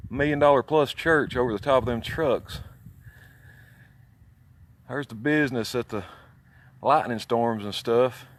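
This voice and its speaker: A man with a Southern Alabama accent rambling casually in a boastful, folksy, foul-mouthed way as he talks outdoors. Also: mid-range voice. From southern United States. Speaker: CB radio hobbyist filming his own video. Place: outdoors near his home.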